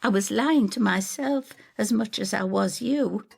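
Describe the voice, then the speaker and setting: A woman doing a tart, rueful older woman, high-pitched. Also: a little slow, creaky, slightly rough, heavily acted. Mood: haunted, confessing. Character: Effie, bitter, self-critical older Whitby woman, a witch. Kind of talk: audio drama storytelling dialogue.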